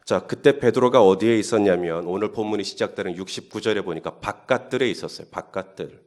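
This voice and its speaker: A man's voice: lowish voice, fast, a little mellow. A male pastor preaching a Holy Week sermon. He talks in a conversational way and builds up to emphatic questions. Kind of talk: sermon at early-morning Holy Week service.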